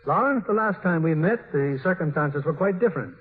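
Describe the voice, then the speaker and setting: A man's voice: fairly high pitch, lively intonation, brisk. A man hosting a 1950s radio show, delivering scripted interview lines in a formal, polished announcer manner. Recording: tinny, faintly hissy 1950s radio.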